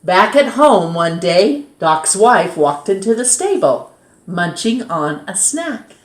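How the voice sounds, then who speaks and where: A woman reads a children's story aloud as a storytime host, in an expressive, measured way that dramatizes key words. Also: medium pitch. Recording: roomy, echoing sound.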